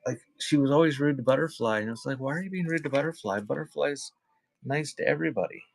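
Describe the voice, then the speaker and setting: A man's voice: mid-range voice, quick pace, creaky, no echo. A man hosting a casual live sale, rambling conversationally between calling out items and chatting with viewers. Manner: conversational, rambling between item call-outs and chat. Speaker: live auction seller and host. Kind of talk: casual livestream selling chat.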